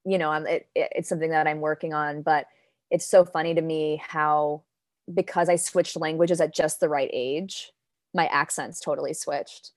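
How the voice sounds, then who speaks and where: A woman's voice: deep voice, steady tone, moderate pace, no room sound, studio quality. A young woman poet from Denmark chatting in an interview, with lots of fillers and frequent laughs. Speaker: guest poet. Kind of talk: casual interview chat. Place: New York.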